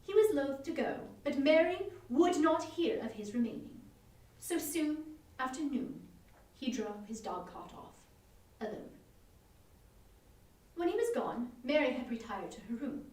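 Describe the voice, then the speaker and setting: A woman's voice: high voice, very animated, unhurried, darkish tone. A woman narrating a story as a dramatic reading. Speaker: reader at a live online performance. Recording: roomy, distant from the mic.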